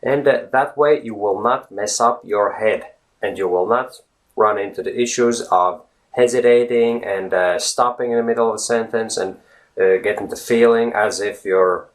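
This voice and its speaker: A man's voice: fairly low pitch, normal speed. A man in his thirties from Latvia with a Latvian accent, teaching English in a casual video lesson, earnest and full of fillers and "right?". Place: indoors, virtual studio backdrop. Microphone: camera close-up, facing camera.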